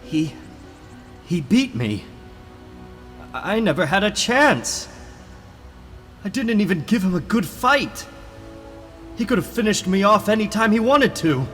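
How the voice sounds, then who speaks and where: A man's voice: high-pitched, sing-song, unhurried, long pauses, a bit bright, big-room sound, faint music behind, exaggerated voice. A man playing a defeated, self-doubting young superhero in a dramatic comic book reading. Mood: despondent. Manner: dramatic, dejected.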